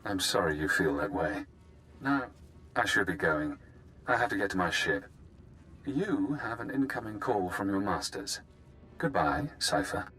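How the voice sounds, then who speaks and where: An actor playing a coolly persuasive, calculating escaped prisoner in a video game, speaking in a measured, formal way. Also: male voice, low-pitched, sing-song, sharp tone, faint music behind, full character voice, poor audio. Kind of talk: video game dramatic acting.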